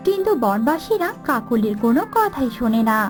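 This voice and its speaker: A woman narrates a children's cartoon tale. Her delivery is scripted storytelling. Clear music plays behind her voice. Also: fairly high pitch, sing-song, brisk.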